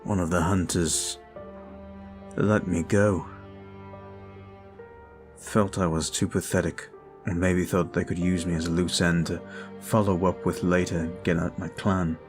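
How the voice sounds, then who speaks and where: A man voicing a brooding male vampire who is weary and guilt-ridden, talking slowly and softly in an ASMR roleplay. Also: low voice, level tone. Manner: slow, soft, confiding. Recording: faint music behind.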